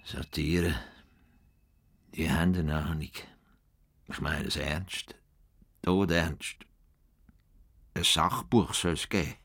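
An actor with a Swiss German accent playing an earnest, stubborn farmer in a radio play, dogged and grumbling. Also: male voice, rumbling low, steady tone, slow pace, pauses often, fairly bright tone, slightly rough, heavily acted. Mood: indignant muttering.

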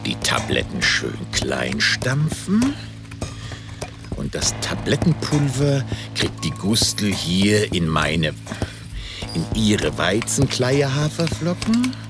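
A man's voice: huge pitch swings, slow, full character voice. An actor playing a big, pompous, middle-aged opera-singer father in a children's radio play, theatrical and blustering. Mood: scheming, gleeful. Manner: theatrical, pompous, swooping between low muttering and high outbursts. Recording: loud music behind the voice.

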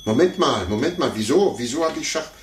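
Actor as a pompous blustering man, wildly swooping pitch. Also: animated, fairly quick, close to an everyday voice. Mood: flustered, blustering. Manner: stammering, blustering, condescending. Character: pompous adult man, a blustering, sore-losing chess player. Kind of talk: 1950s German radio play acting. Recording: roomy, noisy 1950s radio recording.

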